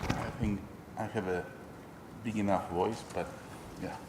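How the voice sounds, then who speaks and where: A man giving an academic lecture as an invited professor, measured and explanatory, with frequent fillers. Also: fairly low pitch, fairly even intonation, very noisy. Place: large lecture hall. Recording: echoey hall with faint ambience.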